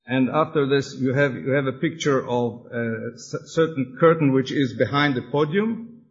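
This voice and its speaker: A man from Austria presenting his congregation to an audience, hesitant and searching for words, a little excited. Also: medium pitch, moderate pace, highs cut off. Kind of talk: halting presentation to an audience with slides.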